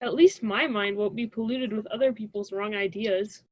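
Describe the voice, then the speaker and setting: A woman's voice: mid-range voice, normal speed, darkish tone, no echo, highs cut off, natural voice. A young woman playing a stubborn, sarcastic college student in a radio drama, with a dismissive conversational delivery. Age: young adult.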